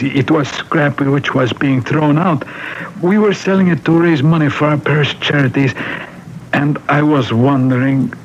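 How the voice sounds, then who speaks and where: A man playing a polite, higher-voiced parish priest. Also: lightly acted. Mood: hesitant, courteous. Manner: polite, hesitant, gently deferential. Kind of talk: old-time radio mystery drama acting. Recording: muffled vintage radio broadcast, background hiss.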